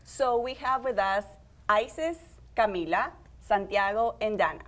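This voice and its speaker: A young woman hosts a community TV segment in a friendly, upbeat way. She steers the talk with easy questions and introduces each guest. Her pitch sits in an ordinary middle range. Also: measured pace, fairly bright tone. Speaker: host and program organizer. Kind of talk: community TV interview.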